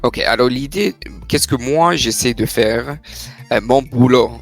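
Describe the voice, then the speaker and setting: A man answers interview questions as an immigration lawyer, at a mid pitch. He explains step by step in a measured tone and often pauses on "euh". Quiet music runs in the background. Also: vocal fry. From Canada. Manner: measured, explanatory, with frequent fillers.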